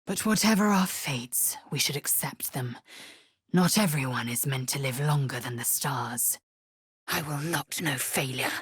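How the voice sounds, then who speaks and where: A woman voicing a fierce, proud young githyanki warrior in a video game, blunt and disdainful, with clipped, formal, archaic phrasing and a husky, breathy voice. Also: rumbling low, unhurried, no echo, clean studio sound, exaggerated voice. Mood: grave. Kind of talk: video game character voice lines.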